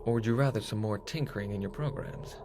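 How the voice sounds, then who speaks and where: A man's voice: lowish voice, fast talker, noisy background, lightly acted. A man playing a bold space captain in an audio drama, with theatrical old-fashioned heroics. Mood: cocky. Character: bold, jaunty male space hero captain.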